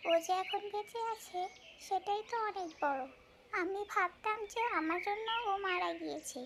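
A female ghost who haunts a tree, very high and childlike, with a creaky edge. She speaks in colloquial exclamations, as in a cartoon folk tale. The recording is noisy, with birds and outdoor ambience behind her. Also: normal speed. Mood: wistful regret. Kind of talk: cartoon fairy-tale voice acting.

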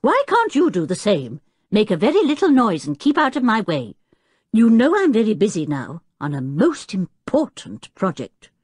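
Storybook role: absent-minded, irascible middle-aged male professor played by a woman, blustering and emphatic, very animated pitch. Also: moderate pace, no echo, studio quality. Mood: stern, fierce.

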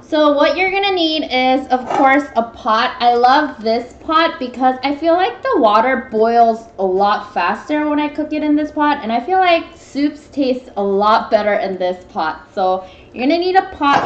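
Young female live-stream cooking host, high pitch, casual meandering chat, dull room sound with music behind. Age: young adult. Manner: informal, meandering, greeting viewers. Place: small home room, indoors at a table. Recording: echoey, dull webcam stream, background music.

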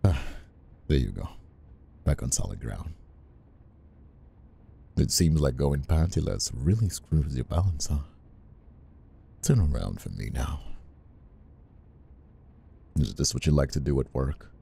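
A man playing a flirtatious, dominant boss in an intimate ASMR roleplay, murmuring slowly and breathily with a deep, gravelly voice. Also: sing-song, dark tone, heavily acted. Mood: teasing, reluctant. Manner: slow, breathy murmuring with sighs. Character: flirtatious, conflicted male boss, dominant and teasing. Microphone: close ASMR microphone.

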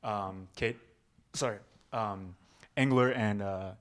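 A man's voice: on the low side, takes it slowly. A man, a reporter, asking questions at a press conference, hesitant and stumbling over names.

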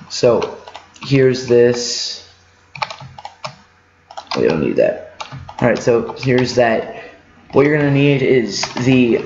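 Male gaming tutorial host, slow and halting, thinking aloud in a roomy, echoey space. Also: medium pitch, a little mellow, a little hiss or hum. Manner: halting, rambling, thinks aloud while working. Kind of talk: casual unscripted game walkthrough commentary. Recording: muffled lo-fi mic in echoey room.

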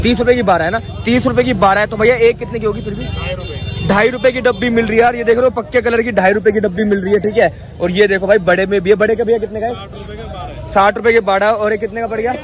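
A man's voice: high-pitched, steady tone, brisk. Young man vlogging through a market. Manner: chatty, repeats prices to camera. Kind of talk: handheld market walkthrough vlog. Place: busy outdoor street market. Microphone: phone held at arm's length.

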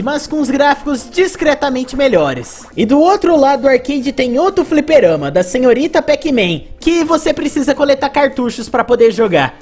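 A Brazilian video game reviewer with a high-pitched voice narrates retro game commentary. Faint music plays behind the scripted delivery. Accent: Brazilian Portuguese.

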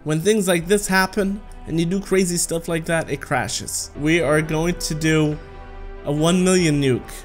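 A man's voice: a little high, measured pace, faint music behind. A man in his thirties giving casual, playful gameplay commentary as a gaming video host, talking to the game and chuckling often.

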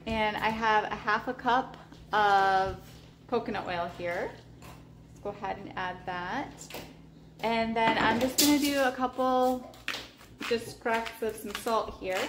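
A woman casually talking through her meal prep in a home kitchen, relaxed and chatty with lots of ums. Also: mid-range voice, background noise. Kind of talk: casual cooking vlog narration. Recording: echoey kitchen with clattering dishes. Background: clinking cutlery, dishes and pans.

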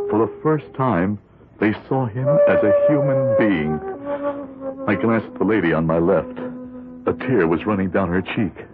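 An actor playing a bitter, glory-hungry juror in a 1970s radio drama, theatrical and vehement. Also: male voice, mid-range voice. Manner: theatrical, vehement, swinging from brooding to outbursts. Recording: muffled band-limited 1970s radio recording.

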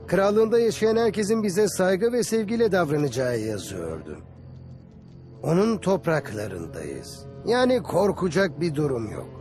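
A man's voice: sits high, darkish tone, full character voice. A man playing a confident, reassuring elder travelling relative in a dubbed cartoon.

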